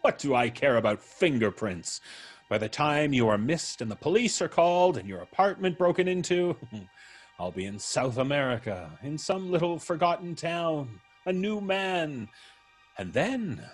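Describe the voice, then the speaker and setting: A man's voice: fairly high pitch, big pitch swings, unhurried, faint music behind. An actor playing a vengeful ex-convict blackmailer in old-time radio style, taunting and mock-polite as he threatens. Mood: taunting, menacing.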